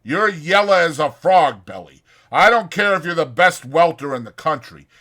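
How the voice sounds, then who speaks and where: A man voicing a rough, bullying ranch hand who mocks and threatens. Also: a little high, lively intonation, moderate pace, bright, forceful tone, voice pushed up. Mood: taunting. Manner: mocking, bullying.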